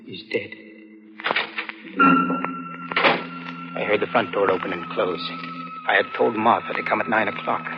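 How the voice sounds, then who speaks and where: An actor playing a resentful, scheming young nephew in 1940s radio suspense, with an intense, melodramatic manner. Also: male voice, fairly low pitch, wildly swooping pitch, brisk, loud music behind the voice. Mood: tense narration. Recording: muffled, noisy old radio broadcast.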